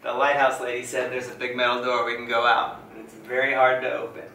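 A man's voice: medium pitch, monotone, fast talker, pushed and bright, recorded from a distance, slight background noise. A man in his thirties making dry jokes in casual travel vlog chat, his voice roomy and set back from the camera. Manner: dry joking remarks. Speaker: sailing vlogger.

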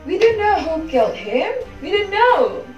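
Young woman playing timid sheriff's wife, slow, echoey, music over it, amateur play. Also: very high voice, big pitch swings, dull audio, heavily acted. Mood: distressed. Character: timid, dutiful sheriff's wife haunted by loss. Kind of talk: amateur stage drama acting. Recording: echoey home recording, music laid over.

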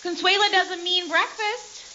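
Bossy eight-year-old girl boss voiced by a woman, bright lively voice, lo-fi sound. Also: very high-pitched, measured pace, a little hiss or hum, full character voice. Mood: commanding. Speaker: lead character. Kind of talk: audio drama acting.

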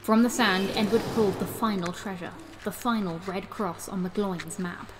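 An actress playing a storyteller narrating a rhyming Christmas audio tale. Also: female voice, mid-range voice, moderate pace, noisy background, lightly acted. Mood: storytelling. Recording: loud music under the voice.

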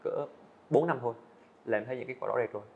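A young man talking quickly and earnestly with a middle-pitched voice, trying out his sentences again as he goes. The recording sounds a little dull and lo-fi, like a podcast studio set. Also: gravelly. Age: young adult. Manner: earnest, fast-talking, frequent small restarts. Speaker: student animator guest. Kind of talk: casual interview answers.

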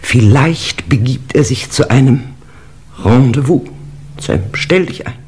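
An actor playing an anxious gentleman recounting a fearful night in a 1950s radio mystery, with dramatic, deliberate storytelling. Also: medium pitch, lively intonation, breathy edge. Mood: hushed, confiding.

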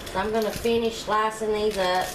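A woman's voice: medium pitch, steady tone, moderate pace. A woman, chatting homey and casual as she demonstrates baking in her home kitchen. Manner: chatty, homey, talks to viewers while working. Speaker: home cooking vlogger. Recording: echoey kitchen with background noise.

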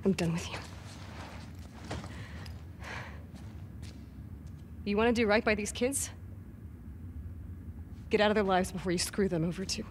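A woman's voice: medium pitch, a little fast, slight background noise, natural voice. Bitter, blunt young woman for a game drama, played by an actress; naturalistic, cutting, accusatory. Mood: angry, contemptuous. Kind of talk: naturalistic video game cutscene acting.

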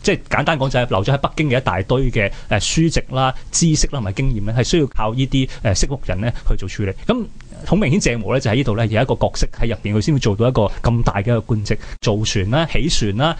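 A man's voice: mid-range voice, expressive, quick pace. A man with a Hong Kong Cantonese accent giving conversational history commentary, explaining by asking and answering his own questions. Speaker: co-host of a history programme.

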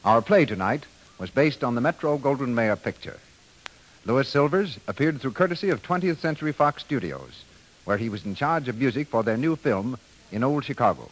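A man's voice: mid-range voice, expressive, moderate pace, poor audio. Formal 1930s male radio announcer.

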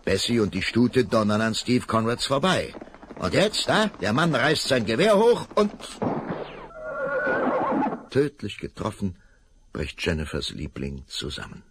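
A man's voice: lowish voice, wildly swooping pitch, a little slow, long pauses, a bit bright, slight background noise. A man narrating a children's radio play, telling the story vividly and dramatically.

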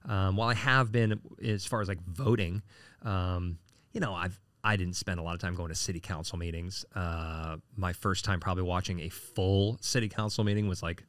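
Man, late 40s, deep voice, casual rambling, fillers, dry room. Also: fairly even intonation, moderate pace, fairly bright tone, clean tone, no echo. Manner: rambling, frequent fillers and restarts. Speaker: city council candidate. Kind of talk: casual interview answers.

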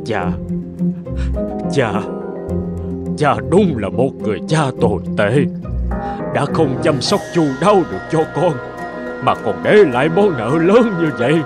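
A man voicing a frail, loving old father in a fairy-tale cartoon, with a sorrowful, worried and gentle manner. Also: medium pitch, big pitch swings, measured pace, pauses often, over loud music. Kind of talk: animated fairy-tale acting.

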